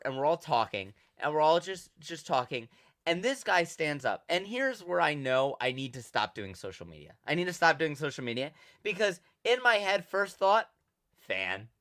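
Young male podcast co-host, trained theater projection, bright voice, lively swings in pitch. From Rhode Island. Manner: loud, projecting, animated storyteller. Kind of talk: casual chat.

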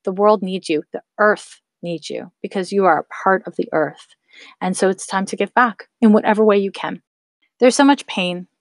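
A woman's voice: mid-range voice, normal speed, no room sound. A woman in her thirties hosting a podcast, giving casual spiritual advice and encouraging her listeners, whom she calls her loves. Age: 30s. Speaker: podcast host. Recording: dry close recording.

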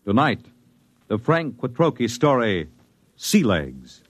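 A man's voice: medium pitch, very animated, takes it slowly. A man announcing a radio series in a grand, declamatory 1950s style. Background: loud music.

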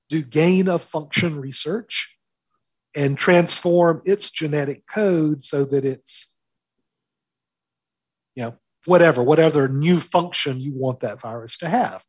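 A man's voice: medium pitch, slightly rough, dry recording, dull audio. A man speaking as an interview guest, explaining his ideas emphatically and repeating phrases for stress. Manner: emphatic, with deliberate repetition for stress. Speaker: interview guest, author and commentator.

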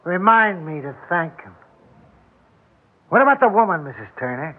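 An actor playing a menacing, bitter intruder who taunts and accuses sardonically in a vintage radio drama. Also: male voice, high voice, very animated, normal speed. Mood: bitter, accusing. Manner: sardonic, pressing with questions. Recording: narrow old radio broadcast recording.

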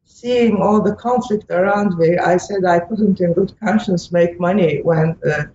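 A middle-aged woman with a Turkish accent answers as an interview guest. She speaks reflectively and haltingly, hesitating often. She sounds far from the mic in an echoey space, with faint noise behind her. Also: lowish voice, warm tone. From Istanbul, Turkey. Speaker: interview guest, sociology professor and author. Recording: echoey, muffled, distant, noisy remote line.

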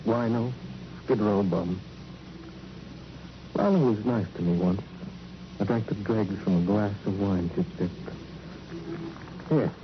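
Mournful poetic beggar, dull old radio. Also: male voice, lowish voice, noisy background. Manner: halting, fragmentary, brooding phrases. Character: destitute Skid Row beggar, poetic and mournful. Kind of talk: 1950s radio crime drama acting. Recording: old radio drama recording, dull, music behind.

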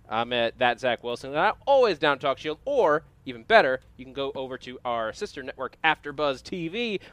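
An upbeat male host with a smooth, clean voice at a middle pitch. He chats loosely about the week's episodes, with plenty of "uh" as he goes. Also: fairly bright tone, dry recording. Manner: upbeat, chatty, lots of filler. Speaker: show host. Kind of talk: casual entertainment news chat.

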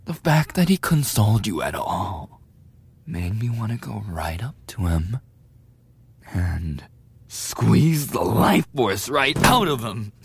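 A man playing a husky, sadistic teenage bully. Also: fairly low pitch, sing-song, no echo, exaggerated voice. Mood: cruel mocking. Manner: taunting, sneering, drawn-out menacing delivery. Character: sadistic male teenage delinquent school bully, mocking and cruel. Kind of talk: dramatic roleplay audio, ASMR.

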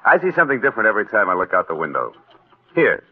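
Suave ski-instructor husband with a hidden scheme: low, rough-edged, very animated delivery, 1940s radio drama. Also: male voice, rapid-fire, exaggerated voice. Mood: solicitous. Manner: easygoing, attentive, suave. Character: smooth, agreeable young ski-instructor husband, secretly scheming. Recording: muffled phone-like vintage radio.

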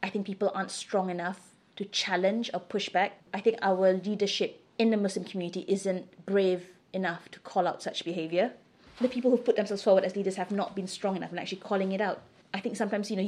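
Middle aged woman from singapore, medium pitch, chatting candidly in an interview, says it with conviction. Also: fairly even intonation, fast, a little mellow. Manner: candid, emphatic, opinionated. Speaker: interview guest. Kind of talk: conversational interview answers.

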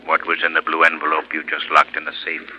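An actor playing an invisible male vigilante in an old radio drama, questioning in a stern, measured, menacing manner. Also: extremely low pitch, completely flat delivery, muffled recording, background noise. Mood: stern, accusing. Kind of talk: 1940s radio drama acting.

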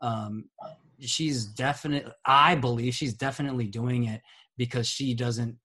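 A man's voice: medium pitch, fairly even intonation, unhurried, pushed and bright, breathy edge. A man co-hosting a podcast, making his point earnestly while hunting for words with many "um"s and tag questions. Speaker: podcast co-host. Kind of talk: casual podcast discussion.

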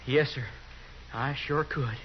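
A man playing an excitable, fawning barber. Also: medium pitch, slow, a little hiss or hum, close to an everyday voice. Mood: excited. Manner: eager, stammering, gushing. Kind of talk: 1950s radio drama acting. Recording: dull lo-fi 1950s radio broadcast.

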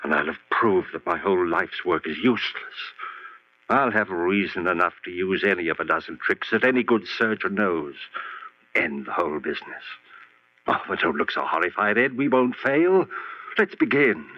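A muffled vintage radio recording carries an obsessed surgeon. His voice is fairly low, and he argues with fervent, desperate conviction. The delivery is impassioned horror-drama acting. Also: animated, normal speed. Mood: grave resolve. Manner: insistent, impassioned. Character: obsessed male surgeon-scientist, confident, fervent, desperate husband. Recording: dull, band-limited old radio recording.

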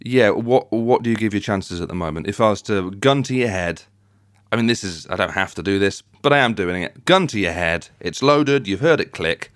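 A man hosts a sports podcast in a casual, conversational way. His voice sits fairly low. The sound is dry, with very little room on it. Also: lively intonation, moderate pace, fairly bright tone, no echo. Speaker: podcast host. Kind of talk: casual football chat, hosting.

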